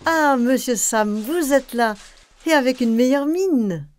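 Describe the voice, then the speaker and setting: A woman voicing a chatty, kindly retired bank customer in an animated film, cheerful and solicitous. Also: sits high, slow.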